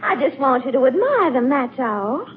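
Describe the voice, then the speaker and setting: An actress playing a coy, flirtatious, genteel belle with an American Southern accent in a 1940s radio comedy. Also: female voice, high-pitched, sing-song, moderate pace. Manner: fluttery, coquettish. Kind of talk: 1940s radio sitcom acting. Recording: tinny narrowband 1940s radio, faint noise.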